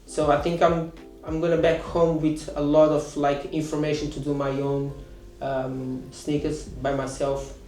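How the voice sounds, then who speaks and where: A man in his thirties, a course student, answering interview questions with many pauses and restarts. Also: on the high side, little pitch movement, darkish tone, echoey room, soft background music. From Portugal. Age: 30s. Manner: hesitant, many fillers and restarts.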